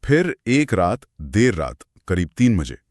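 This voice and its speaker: Low-voiced guy telling scary stories, really lively pitch, short suspenseful phrases, dry sound. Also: unhurried, no echo. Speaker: host narrating horror stories. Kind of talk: conversational horror storytelling.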